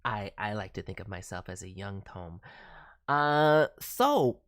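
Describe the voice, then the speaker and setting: A woman's voice: very deep voice, lively intonation, a little slow. A woman working as a voice director, giving a casual spoken critique with advice in an emphatic manner. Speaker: voice director reviewing demo reels.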